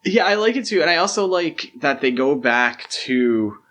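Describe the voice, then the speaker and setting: A man's voice: fairly high pitch, animated, normal speed, fairly bright tone. A man co-hosting a podcast, discussing films in a casual, conversational and opinionated way. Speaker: podcast co-host.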